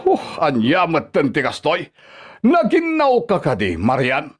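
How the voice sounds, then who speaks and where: A high, wildly swooping voice scolds with contempt: an overbearing older Filipino father-in-law in a radio drama. He badgers and belittles whoever he addresses, with music playing underneath. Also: huge pitch swings, unhurried, slightly rough, exaggerated voice. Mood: nagging. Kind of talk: Ilocano radio drama acting.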